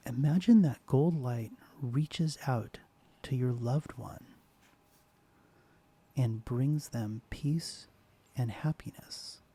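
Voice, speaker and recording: A man hosting a soft-spoken ASMR guided meditation, speaking slowly and gently, often dropping into a whisper. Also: medium pitch, slightly rough. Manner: slow, soft, partly whispered. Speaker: ASMR host.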